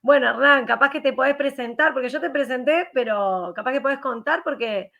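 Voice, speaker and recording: A woman's voice: high-pitched, fairly quick, creaky, pushed and bright, lo-fi sound. A woman hosting a casual interview over a video call, laughing often and asking rambling questions. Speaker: host.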